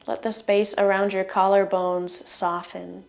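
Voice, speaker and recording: Slow-paced woman guiding meditation. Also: mid-range voice, level tone, gravelly. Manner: soothing, gentle instructions in short phrases with trailing ends. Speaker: meditation guide. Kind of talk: guided relaxation meditation.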